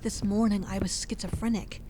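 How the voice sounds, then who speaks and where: A woman playing a wary young woman exploring a dark house in an audio drama, nervous but wry. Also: mid-range voice, steady tone, moderate pace, a little hiss or hum, natural voice. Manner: nervous, wry, self-talking.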